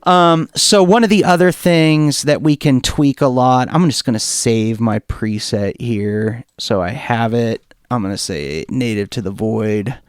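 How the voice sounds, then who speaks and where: A man giving a casual software tutorial, thinking aloud in an informal way as he demonstrates. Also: mid-range voice, unhurried, hardly any pauses, clean tone, dry recording, clean studio sound. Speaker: music producer demonstrating software.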